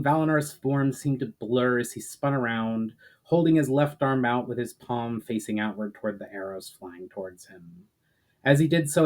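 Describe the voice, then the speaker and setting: A man speaking as an author and storyteller, moving between casual, hesitant introduction and measured dramatic narration. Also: mid-range voice, a little mellow. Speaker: author reading own book. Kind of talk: casual chat intro, then dramatic audiobook-style narration.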